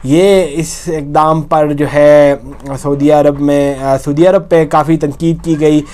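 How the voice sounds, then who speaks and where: A man with a Pakistani accent delivers a news report with commentary. His voice is fairly high for a man and notably clean and smooth. He explains as he goes, often rewording and repeating a point. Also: steady tone, slow, dark tone.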